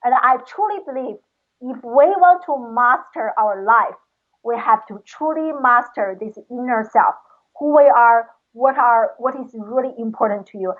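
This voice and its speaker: A woman's voice: fairly high pitch, measured pace. Earnest woman over the phone. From China. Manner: earnest, passionate, reflective. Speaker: guest, educator. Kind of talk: casual interview talk. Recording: tinny phone line.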